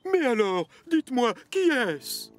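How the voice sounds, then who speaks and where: An actor playing a stern, exasperated railway controller in a French cartoon dub, scolding with authority. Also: male voice, extremely high pitch, very animated, a little slow, faint music behind, heavily acted.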